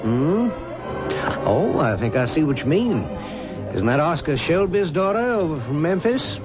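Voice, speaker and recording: A genial but leering father, fairly high-voiced and lively in his intonation. The dull vintage broadcast carries music beneath him. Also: unhurried. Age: middle-aged. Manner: jovial, chuckling, sly. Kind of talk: 1970s radio drama acting. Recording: dull 1970s radio recording with music.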